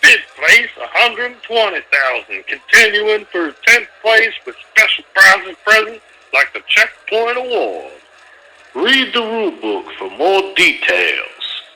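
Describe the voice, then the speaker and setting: A man's voice: high-pitched, big pitch swings, slow, sharp tone, heavily acted, rough recording. A man in an amateur fan dub playing an older, blustering race promoter with an emphatic delivery. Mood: formal, announcing. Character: older male race promoter, blustering boss who frets in private.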